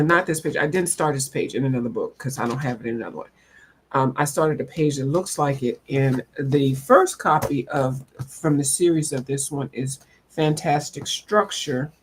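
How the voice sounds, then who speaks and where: A woman's voice: low voice, a little hiss or hum. A woman chatting casually to viewers in a folksy, rambling way. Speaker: coloring channel host. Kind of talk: casual chat to viewers about coloring books.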